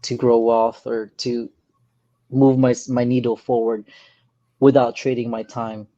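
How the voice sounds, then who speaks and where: A man from the Philippines, a real estate investor, talking as a guest in a casual interview, earnest and soft-spoken with many pauses. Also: medium pitch, flat delivery, no echo, dull audio.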